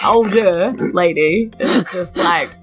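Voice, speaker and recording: A woman's voice: lowish voice, takes it slowly, faint music behind. A woman with a New Zealand accent, casually narrating a tabletop game as game master.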